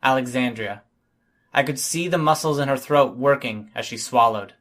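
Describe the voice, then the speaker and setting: A man's voice: mid-range voice, moderate pace, fairly bright tone. A man reading scripted first-person fiction as an audiobook narrator.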